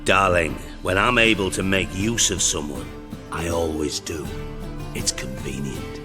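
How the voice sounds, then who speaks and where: An actor playing a cynical middle-aged spymaster in a video game, with a British accent and a mockingly polite, wry, profane way of talking. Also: male voice, low-pitched, fairly even intonation, a little slow, big-room sound, over loud music. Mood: smug. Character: cynical, shrewd, sarcastic male underworld spymaster, middle-aged.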